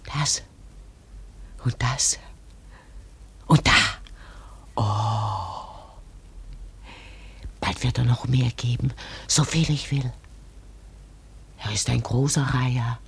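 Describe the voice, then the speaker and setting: Radio play: a grasping, gloating mother, badly rasping breathy voice, slow and insistent. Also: rumbling low, a little mellow, heavily acted. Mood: greedy gloating. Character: greedy, scheming Malay mother gloating over money. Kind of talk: radio drama acting.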